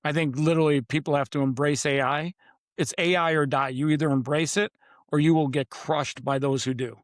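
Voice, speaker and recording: A man's voice: on the high side, steady tone, brisk, dry recording, studio quality. A man speaking as an interview guest, conversational but emphatic, in short punchy declarations with dramatic repetition. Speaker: podcast guest, author.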